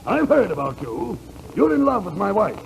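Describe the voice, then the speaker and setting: A man's voice: sits high, sing-song, normal speed, gravelly, full character voice. A man playing a pompous, blustering king in a 1940s radio comedy sketch, declaiming his lines grandly. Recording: noisy old 1940s radio recording.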